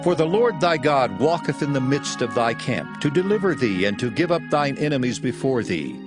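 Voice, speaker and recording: Expressive male Bible narrator over music. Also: mid-range voice. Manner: solemn, measured, expressive. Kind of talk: dramatized scripture reading.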